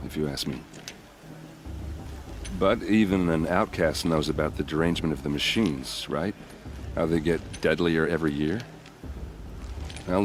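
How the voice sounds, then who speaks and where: A man's voice: rumbling low, slight background noise. An actor playing a friendly, easygoing young male soldier in a game cutscene, talking in a casual, joking way. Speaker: game character. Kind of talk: video game cutscene dialogue.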